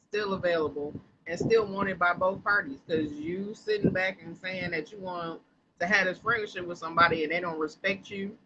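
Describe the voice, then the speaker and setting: A man co-hosting a podcast who jokes along and keeps chiming in with quick agreement. His voice sits high and sounds very bright and sharp. The recording is dull and a bit lo-fi, with faint noise underneath. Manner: agreeable and playful, chimes in with short backchannels. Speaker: podcast co-host. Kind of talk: casual podcast chat.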